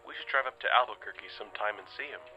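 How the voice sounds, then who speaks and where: A man playing a mild-mannered, sceptical astronomer in an audio drama, speaking in a measured way. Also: lowish voice, sharp tone, highs cut off, faint music behind. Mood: warm, content. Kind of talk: modern audio drama acting with spoken log entries.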